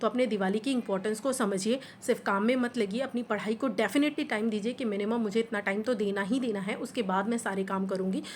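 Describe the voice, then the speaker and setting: A young woman with a hoarse voice from a sore throat, giving earnest, encouraging study advice to the camera as a housewife vlogger, mixing English words into her Hindi. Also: medium pitch, fairly quick, few pauses, a little hiss or hum. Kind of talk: motivational talk to camera.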